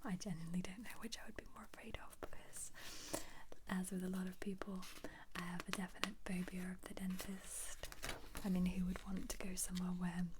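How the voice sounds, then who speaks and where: A woman speaking in a soft, breathy, mostly whispered ASMR style, chatting casually and gently as she muses aloud over what she is looking at. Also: fairly low pitch, flat delivery, brisk, very noisy. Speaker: ASMR video creator. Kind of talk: ASMR, soft-spoken casual book flip-through commentary.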